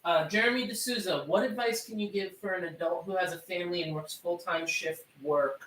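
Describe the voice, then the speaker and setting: A man reading viewer questions aloud in a halting way, stumbling over names. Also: high voice, fairly bright tone. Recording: roomy sound from a distance.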